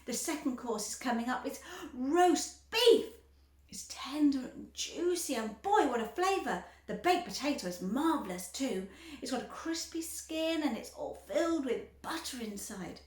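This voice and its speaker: Woman playing a smug gushing spoiled girl, high bouncy voice, echoey room. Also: expressive, unhurried. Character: boastful spoiled gum-chewing girl. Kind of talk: storybook read-aloud.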